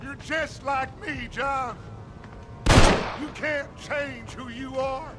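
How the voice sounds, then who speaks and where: An actor playing an aging American outlaw gang leader in a video game, theatrical and deliberate, given to fatalistic preaching. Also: male voice, very high-pitched, steady tone, over loud music. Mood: defiant, taunting. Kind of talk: dramatic video game acting.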